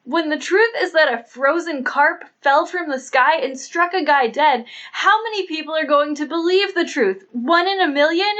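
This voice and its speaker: A man's voice: very high-pitched, normal speed, hardly any pauses, pushed and bright, lo-fi sound, voice pushed up. A man voicing a brash, stubborn young woman who argues emphatically in a colloquial way, in an audiobook. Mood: adamant.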